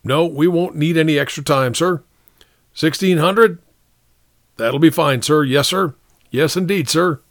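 A man's voice: fairly high pitch, measured pace, pauses often, a bit bright, dry recording, studio quality, pitched above the performer's own voice. A man voicing a strict, short-tempered, proud American army captain in an audiobook, giving clipped orders. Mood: eager, deferential. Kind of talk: audiobook character dialogue.

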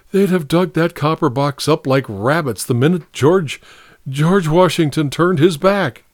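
A man voicing a tearful, doubting young farm woman in a story, plaintive and halting. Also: a little high, pitched above the performer's own voice.

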